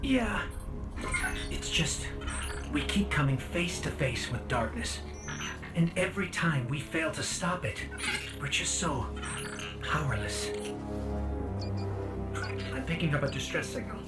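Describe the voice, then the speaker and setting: A woman's voice: low-pitched, animated, measured pace, long pauses. A woman voicing a small robot companion in a game, earnest and worried as she reports. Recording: distant, very loud music behind.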